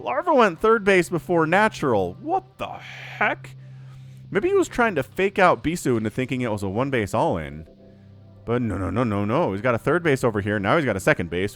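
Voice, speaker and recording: A man casting a strategy game match alone, excitable and jumping into yelps at big plays, his voice slightly scratchy from a cold. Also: medium pitch. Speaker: StarCraft shoutcaster. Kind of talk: solo game commentary.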